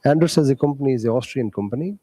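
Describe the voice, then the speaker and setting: A man introducing himself and his company at a trade expo, polite and a little halting. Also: mid-range voice, warm tone, no echo. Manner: polite, brief, slightly halting. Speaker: company director. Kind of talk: introduction speech.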